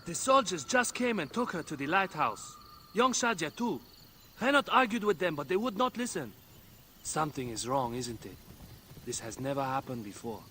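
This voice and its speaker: An actor playing a worried Egyptian villager in a video game cutscene, sounding anxious and agitated. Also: male voice, a little high, lively intonation, moderate pace, sharp tone, slight background noise. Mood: anxious, fearful.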